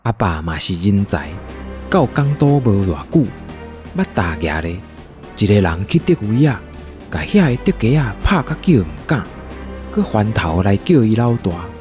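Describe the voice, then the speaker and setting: A man with a Taiwanese accent narrating a scripted story as an audio drama narrator, in a husky voice. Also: lowish voice, big pitch swings, moderate pace, darkish tone, faint music behind.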